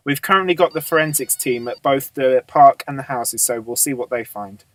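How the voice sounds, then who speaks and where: Police boss, male actor, measured and authoritative, amateur radio drama, mid-pitched, very dry recording. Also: dry recording, lightly acted, rough recording. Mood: brisk, commanding. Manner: measured, matter-of-fact, authoritative. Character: businesslike male police boss briefing his detectives.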